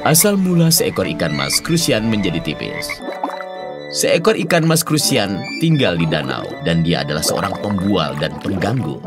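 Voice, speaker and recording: A man's voice: low-pitched, sing-song, normal speed. A man narrating a scripted children's story as a storyteller. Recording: loud music behind the voice.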